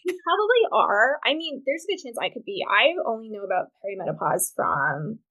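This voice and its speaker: A woman's voice: fairly high pitch, fairly quick, muffled recording. A woman from Wyoming, a podcast guest who advocates for reproductive rights, telling candid and funny stories in casual chat. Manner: animated, candid, comic storyteller.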